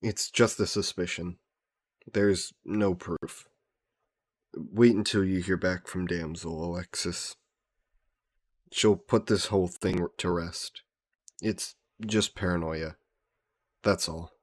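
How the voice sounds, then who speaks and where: Low-voiced courtly vampire lord, played by a man. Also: steady tone. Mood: brooding, uneasy. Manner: formal, genteel, measured phrasing. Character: ancient aristocratic male vampire, courtly, protective, gently teasing. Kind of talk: scripted romantic audio roleplay.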